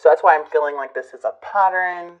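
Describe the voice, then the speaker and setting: A woman giving a casual tarot reading to her viewers, musing and hesitant, her voice a bit hoarse from a recent illness. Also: low voice, fairly quick, highs cut off. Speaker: tarot reader.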